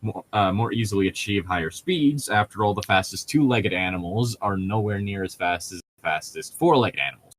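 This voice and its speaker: Low-pitched man in nerdy casual chat. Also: a little fast, few pauses, no room sound.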